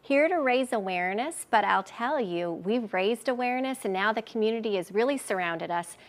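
An eager, upbeat woman in her forties speaks as a guest on a live TV news set. Her pitch sits a little high, and her delivery is energetic and promotional. A lapel mic picks her up cleanly in the studio. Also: moderate pace. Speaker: charity spokesperson, studio guest.

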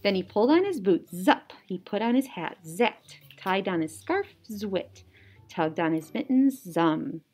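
A young woman reading a children's story like a teacher, animated, with playful sound words. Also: mid-range voice, unhurried, husky. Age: young adult. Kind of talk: children's story read-aloud. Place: small indoor classroom or office.

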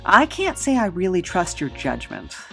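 A woman's voice: lowish voice, wildly swooping pitch, moderate pace, gravelly, pushed and bright, faint music behind, heavily acted. A woman playing a weary, cautious gang leader in an audio drama, wry and conversational. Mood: wary.

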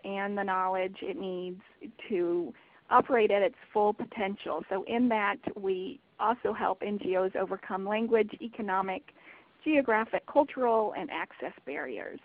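A woman hosts a webinar in an upbeat, enthusiastic way. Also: medium pitch. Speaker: webinar host. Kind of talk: hosting a webinar. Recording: tinny phone line.

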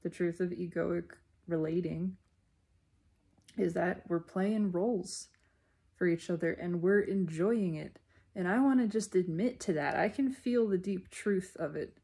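A woman giving a reflective spiritual monologue in a deliberate manner, pausing mid-sentence and speaking in short phrases. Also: on the low side, mellow, breathy edge. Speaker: spiritual self-reflection vlogger.

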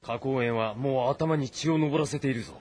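A man's voice: medium pitch, normal speed, darkish tone, a little hiss or hum, natural voice. An actor playing an intent male warlord in dramatic audio drama style. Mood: intent, observant.

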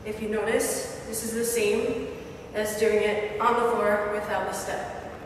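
A young woman, a fitness trainer, calmly explaining exercise technique as she demonstrates. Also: mid-range voice, a little slow, noisy background. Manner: clear, steady coaching while demonstrating exercises. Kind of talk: instructional demonstration. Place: large gym with hard walls. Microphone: far camera. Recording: echoey gym from far off.

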